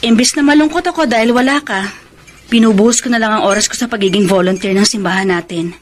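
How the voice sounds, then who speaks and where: A woman's voice: a little high, fast, a little hiss or hum, close to an everyday voice. A Filipino woman acting a hurt, sardonic middle-aged wife in a radio drama, speaking with pointed reproach. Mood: resigned.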